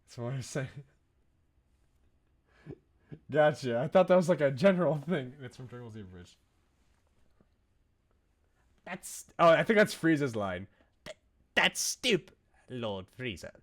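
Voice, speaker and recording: A man hosting a video game livestream in a casual, informal way. His voice sits at a middle pitch, and he talks with open enthusiasm, as if chatting with his viewers. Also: lively intonation, moderate pace, long pauses.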